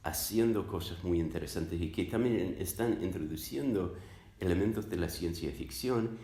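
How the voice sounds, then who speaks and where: A man from the United States, a native English speaker, answering interview questions as a scholar in a halting, thoughtful way, searching for words. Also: deep voice, mellow. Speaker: interviewed academic, literature scholar. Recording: roomy, echoing sound.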